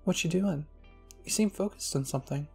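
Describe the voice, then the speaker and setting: A man voicing a kind, curious young woman soldier in an anime fan fiction reading, soft, friendly and encouraging. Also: on the high side, brisk, creaky, faint music behind, pitched above the performer's own voice.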